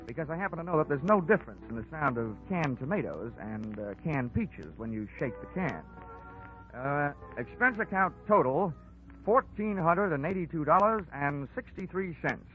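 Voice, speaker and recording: A wry, tough male investigator, played by an actor in a mid-pitched voice. He wisecracks like an old radio detective, on a tinny recording with some music. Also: big pitch swings, normal speed, lightly acted. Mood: dry, wry. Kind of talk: 1940s radio acting, first-person detective narration.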